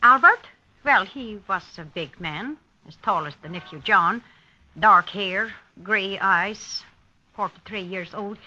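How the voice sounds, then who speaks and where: Hesitant abandoned wife, bright voice. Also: female voice, mid-range voice, full character voice. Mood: hesitant, recalling. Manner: halting, plain-spoken, short phrases. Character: bitter, hesitant abandoned wife questioned by police. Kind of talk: 1950s radio drama acting. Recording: dull lo-fi vintage radio broadcast.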